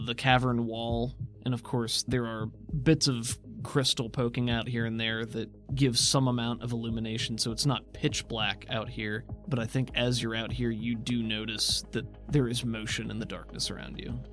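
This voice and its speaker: A man's voice: medium pitch, level tone, moderate pace, hardly any pauses. A man narrating a tabletop roleplaying game as game master, mixing descriptive scene-setting with casual asides. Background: background music.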